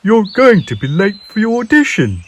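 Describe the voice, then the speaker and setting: A man's voice: high voice, huge pitch swings, moderate pace, pitched above the performer's own voice, exaggerated voice. A man voicing a helpful storybook owl with a hooting voice. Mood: urgent calling. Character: hooting owl, loud, helpful woodland friend.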